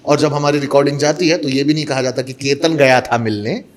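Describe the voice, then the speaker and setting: A man's voice: mid-range voice, fairly even intonation, brisk. A man from Mumbai, an actor and voice-over artist, telling stories in a casual interview and mixing Hindi with English. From Mumbai, India.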